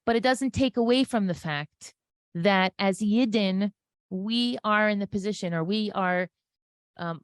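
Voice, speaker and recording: A woman's voice: medium pitch, no echo. Female inspirational speaker, mid-range voice, earnest and emphatic, unscripted faith talk with repetition for weight, dry acoustic. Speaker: interview guest, inspirational speaker. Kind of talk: impromptu religious inspirational talk.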